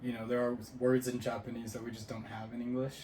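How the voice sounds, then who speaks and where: A man's voice: medium pitch, fast talker, soft background music. Young man, podcast co-host, casual chat, roomy echo. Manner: relaxed, chatty, quizzes his friend. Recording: roomy echo, faint ambient noise.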